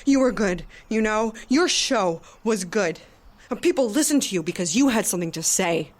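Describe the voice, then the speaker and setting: A woman playing a cool, shrewd former public defender in an audio drama, speaking in a deliberate, wry, persuasive way. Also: medium pitch, lively intonation, lightly acted. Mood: defensive.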